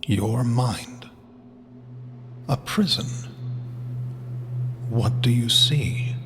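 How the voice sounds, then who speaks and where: An actor playing an ominous disembodied voice in an audio drama, cryptic and menacing. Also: male voice, mid-range voice, drawn-out words, background noise. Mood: cryptic menace. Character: ominous disembodied male voice haunting a mind. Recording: long reverb, loud music behind.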